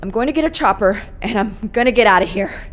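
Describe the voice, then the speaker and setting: A woman's voice: mid-range voice, expressive, quick pace, natural voice. A woman playing a tough, weakened captive agent in a video game, speaking tersely and with determination. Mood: weary, determined. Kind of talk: video game cutscene acting.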